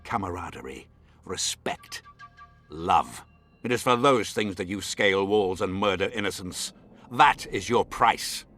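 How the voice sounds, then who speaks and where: A man's voice: low-pitched, animated, a little slow, a bit bright, faint music behind, heavily acted. A man playing a haughty, scornful nobleman in a fantasy video game, with imperious, formal diction. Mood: cold, contemptuous. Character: haughty scornful nobleman, baron vying for a throne. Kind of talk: dramatic fantasy game acting.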